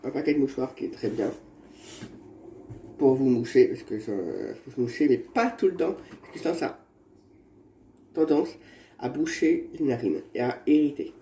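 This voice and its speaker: A man's voice: medium pitch, mellow. Rambling man with a cold, echoey room. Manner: rambling, repeats himself, halting restarts. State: has a cold. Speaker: vlogger. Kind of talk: casual home how-to vlog. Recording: echoey room with faint background noise.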